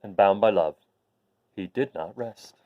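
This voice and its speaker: A man reading a rhyming picture book aloud to young listeners in an expressive way. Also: lowish voice, measured pace, phone-quality sound. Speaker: children's story reader.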